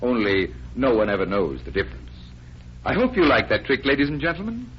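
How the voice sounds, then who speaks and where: A genial, self-assured American stage magician from 1940s radio. His mid-pitched voice moves up and down with showman flair as he instructs deliberately. The old recording is muffled and noisy. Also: wildly swooping pitch. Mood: genial, explaining.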